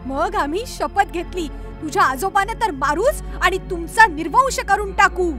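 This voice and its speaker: A woman playing a vengeful, bitter female ghost in a horror story, with slow, menacing, drawn-out delivery. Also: extremely high pitch, expressive, loud music behind the voice. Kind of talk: horror cartoon acting.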